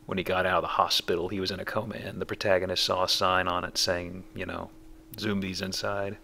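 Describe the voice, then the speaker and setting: A man's voice: deep voice, little pitch movement, a little fast, gravelly, pushed and bright. A man hosting a let's play with casual, folksy, rambling commentary on the game. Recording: commentary over faint game background noise.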